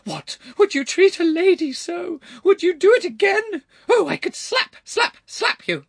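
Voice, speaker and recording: A man's voice: very high-pitched, animated, moderate pace, a bit bright, no room sound, clean studio sound, pitched above the performer's own voice, exaggerated voice. A man voicing a strident, melodramatic elderly lady in an audiobook, wailing and pleading theatrically. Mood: outraged, shrieking. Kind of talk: dramatised audiobook character voice.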